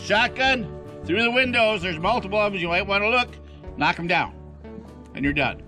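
A man's voice: sits high, lively intonation, normal speed, over music. An older man giving procedural, matter-of-fact instructions as a range officer at an outdoor shooting match.

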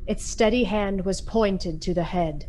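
A woman narrating a radio play in a solemn, literary, dramatic storytelling style with deliberate phrasing. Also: mid-range voice, darkish tone, slight background noise, rough recording.

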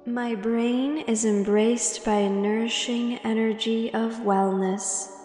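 Slow, high-voiced woman guiding a meditation. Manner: calm, measured, with long pauses between phrases. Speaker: guided meditation narrator. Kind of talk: slow guided meditation with spoken affirmations. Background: meditation music.

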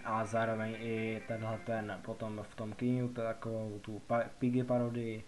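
A man's voice: lowish voice, completely flat delivery, darkish tone, faint music behind. A young adult Czech man giving a casual game tutorial, explaining in a rambling, repetitive, colloquial way. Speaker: gaming video host.

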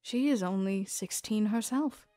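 A woman voicing a thoughtful young adventurer in an anime audio drama, phrasing things deliberately with pauses. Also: medium pitch, mellow, no echo, faint music behind, lightly acted.

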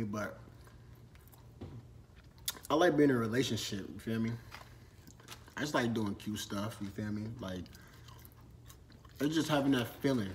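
Young man, twenties, from Minnesota, laid-back slangy chat, phone camera, faint background noise. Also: medium pitch. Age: 20s. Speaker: vlogger. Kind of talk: casual Q&A vlog. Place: storage room with shelves and ladders, indoors.

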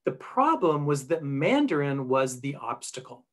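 A man's voice: mid-range voice, big pitch swings, a little slow. Middle-aged male academic, webcam lecture from a small room, casual explanatory delivery, mid-range voice. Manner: conversational, explanatory, with frequent ums and self-corrections. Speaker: linguist giving a conference talk. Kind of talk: recorded presentation over slides. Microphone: webcam at desk.

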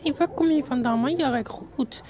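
A woman voicing a small, nosy, excitable dog in a comic animal dub. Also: high voice, moderate pace, crowd noise, natural voice. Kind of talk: comedic animal voice-over.

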